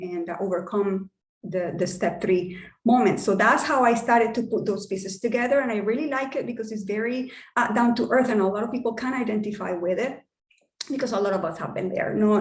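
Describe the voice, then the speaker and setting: A woman's voice: medium pitch, reverberant. A woman with a Spanish accent hosting an online workshop, chatty and enthusiastic with lots of fillers. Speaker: workshop host and coach.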